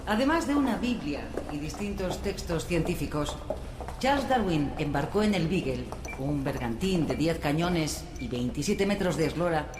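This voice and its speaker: A woman's voice: low-pitched, lively intonation, moderate pace. A woman playing a courteous ship captain and guide, formal and welcoming, in scripted audio drama narration. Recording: echoey with clear background noise.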